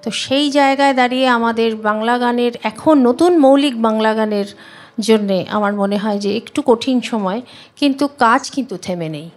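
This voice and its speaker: A woman's voice: on the high side, normal speed, soft background music. A woman, answering interview questions in an earnest, emphatic, conversational way. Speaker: singer, interview guest.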